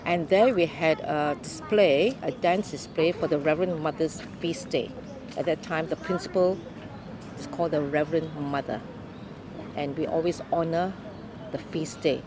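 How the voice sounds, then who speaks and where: An older woman from Malaysia telling her life story in an unhurried, explaining way during an interview. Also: sits low, noisy background. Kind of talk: oral history interview.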